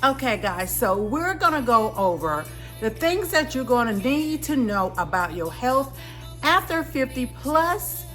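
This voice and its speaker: A woman's voice: a little high, sing-song, unhurried, a bit bright, faint music behind. A woman of fifty talking casually to her viewers, chatty and calling them guys, with lots of ums. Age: 50s. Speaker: vlogger. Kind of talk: casual vlog talk to camera. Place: in a car, driving to appointment.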